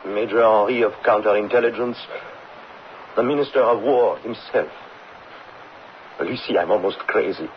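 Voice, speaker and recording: An actor playing a distraught, earnest condemned French army officer in a radio drama, speaking urgently. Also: male voice, lowish voice, normal speed, long pauses, natural voice. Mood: anguished, bewildered. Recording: muffled old radio recording, faint noise.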